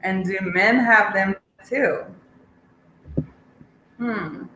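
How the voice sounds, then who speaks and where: A woman's voice: mid-range voice, very slow. A woman hosting a casual live chat, reading questions aloud and reacting to her guest. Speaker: host. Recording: echoey room with faint noise.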